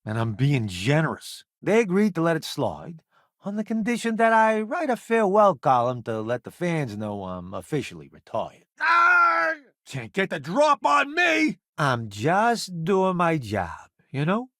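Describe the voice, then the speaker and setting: Video game space crewmate: showy ex-celebrity food critic, unhurried, very expressive, wry and self-assured. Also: male voice, on the high side, no echo, clean studio sound, full character voice. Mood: alarmed, then casual, wry. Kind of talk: video game character voice lines.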